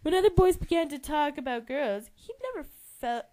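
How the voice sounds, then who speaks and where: A woman's voice: sits high, expressive, moderate pace, mellow. A young adult woman giving an informal, giggly dramatic reading of a novel, tripping over words and correcting herself as she narrates. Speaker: amateur reader and narrator.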